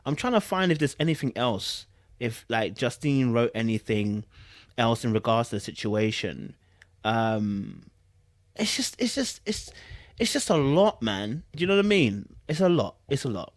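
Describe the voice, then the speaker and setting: A British man hosting casual online commentary, talking in an incredulous, slangy, animated way to his viewers. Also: medium pitch, normal speed, dry recording, studio quality.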